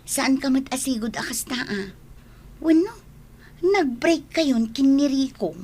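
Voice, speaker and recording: High-voiced, teasing young Ilocano best friend. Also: female voice, unhurried. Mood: concerned. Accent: Ilocano Filipino. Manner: expressive, emphatic, probing. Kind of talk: Ilocano radio drama acting.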